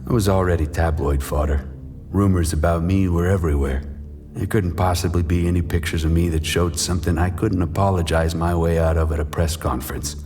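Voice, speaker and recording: An actor playing a bitter, regretful washed-up boxer, narrating a noir audio drama in brooding, terse sentences. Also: male voice, low voice, fairly even intonation, fairly quick, mellow, soft background music, lightly acted.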